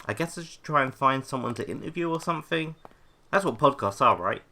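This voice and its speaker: A man's voice: mid-range voice, animated, brisk, pushed and bright. A man playing an awkward, apologetic, hesitant young intern hosting a podcast in an audio comedy. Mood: deadpan, self-deprecating. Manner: nervous, self-deprecating, hesitant. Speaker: podcast host. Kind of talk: scripted audio comedy drama, mock podcast.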